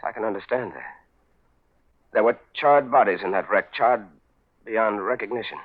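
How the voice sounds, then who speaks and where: Low-voiced, despairing fugitive man. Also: normal speed, breathy edge. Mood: haunted, regretful. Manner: bitter, fatalistic. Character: despairing amnesiac man turned thief, hunted and resigned. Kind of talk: 1940s radio acting. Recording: muffled, narrowband 1940s radio recording.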